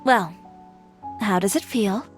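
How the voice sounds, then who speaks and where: A woman's voice: mid-range voice, animated, slow. A woman voicing an assertive, self-assured young fashion designer in a video game, with a composed, wry, matter-of-fact delivery. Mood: calm, reserved. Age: young adult. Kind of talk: scripted video game voice acting. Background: background music.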